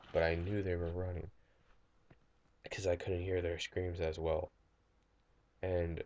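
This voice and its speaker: A young man playing a traumatized survivor recording an audio log, halting and distraught, stammering and repeating himself in an amateur audio drama. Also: sits low, warm tone, no room sound. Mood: haunted.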